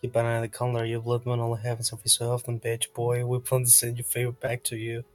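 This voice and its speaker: A man's voice: medium pitch, completely flat delivery, quick pace. A man talking casually during a tabletop roleplaying game session, acting as game master. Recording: music playing behind the voice. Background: music.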